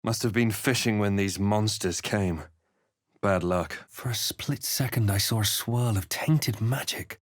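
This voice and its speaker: A man voicing a composed, observant, brooding adventurer in a fantasy video game, with measured, theatrical delivery. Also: fairly low pitch, dry recording, clean studio sound. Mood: solemn, watchful. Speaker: video game player-character voice. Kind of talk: dramatic first-person narration and character barks.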